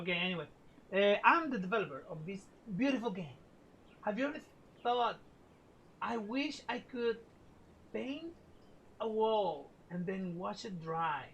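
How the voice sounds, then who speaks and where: A woman who is a non-native English speaker and a game developer, pitching her game in a halting, deadpan way with restarts. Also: slight background noise, poor audio. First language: not English. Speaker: game developer in a promo video. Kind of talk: scripted product pitch.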